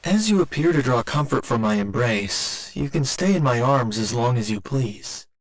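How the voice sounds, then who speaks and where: A man voicing a gentle robot boyfriend in an ASMR roleplay, with formal, clinical phrasing delivered tenderly and a little hesitantly. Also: medium pitch, animated, normal speed, close to an everyday voice, low-quality recording. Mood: tender, earnest. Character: gentle male robot boyfriend learning to feel love.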